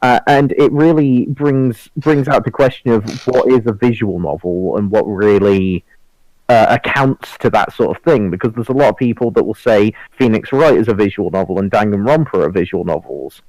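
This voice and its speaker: Low-voiced man on a casual podcast. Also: lively intonation, moderate pace, darkish tone, no echo, poor audio. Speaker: podcast panelist. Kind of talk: casual group discussion of games.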